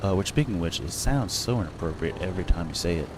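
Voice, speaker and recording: Young man, game streamer, low voice, rambling chatty delivery, steady hum in the background. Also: fast. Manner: rambling, chatty, lots of fillers and self-corrections. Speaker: gaming streamer. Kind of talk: casual chat while streaming a farming game, answering chat.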